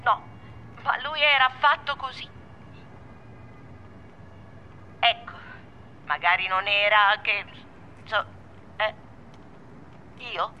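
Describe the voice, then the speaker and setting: An actress playing a rattled, defensive woman on a phone call in a radio drama, talking in a halting, repetitive, evasive way. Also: medium pitch, animated, bright, forceful tone, a little hiss or hum. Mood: defensive, hesitant. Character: rattled, defensive woman gossiping about someone close.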